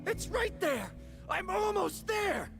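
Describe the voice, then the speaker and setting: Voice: very high-pitched, very animated, slow, creaky, over music, heavily acted. An actor playing a stubborn, proud young male adventurer, intense and defiant, in an anime-style video game. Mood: desperate, defiant.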